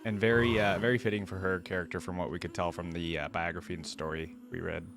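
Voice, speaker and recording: A man's voice: deep voice, level tone, fast talker, gravelly. A man giving casual, off-the-cuff reaction commentary as the host of a video. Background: music from videos being watched.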